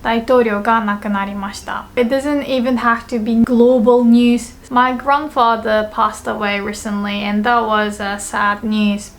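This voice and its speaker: A woman's voice: a little high, gravelly, fairly bright tone. A young woman in her twenties teaching a language lesson, patiently explaining and repeating each example sentence twice. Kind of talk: video lesson. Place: small indoor room with bookshelves.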